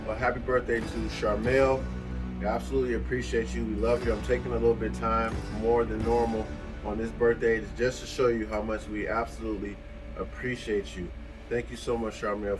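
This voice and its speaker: Man giving heartfelt shout-outs over music. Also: on the low side, flat delivery, a little fast, rough recording. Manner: appreciative, heartfelt, informal. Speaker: co-host of a video channel. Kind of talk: casual vlog shout-outs. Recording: music playing behind the voice. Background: background music.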